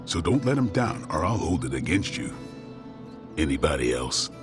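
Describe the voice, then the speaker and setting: A gruff, stoic vampire hunter from a video game, with an extremely low voice that moves in wide swings. He is terse and deadpan, blunt but loyal. Clear music plays underneath. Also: moderate pace. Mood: guarded, fond. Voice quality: very deep. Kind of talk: video game cutscene dialogue.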